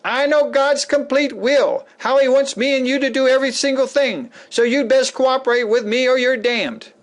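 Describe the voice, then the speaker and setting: Male reader as arrogant zealot: very high, insistent, self-righteous, commanding obedience in a dramatic lecture. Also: normal speed. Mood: smug, commanding. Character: arrogant, domineering religious zealot demanding total obedience.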